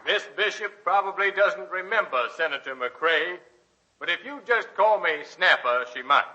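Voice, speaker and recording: An actor playing a folksy, jovial senator giving an after-dinner speech in 1940s radio drama style. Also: male voice, high voice, lively intonation, moderate pace, bright, forceful tone, full character voice. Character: folksy, jovial male senator reminiscing at banquet. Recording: dull, very noisy vintage radio recording.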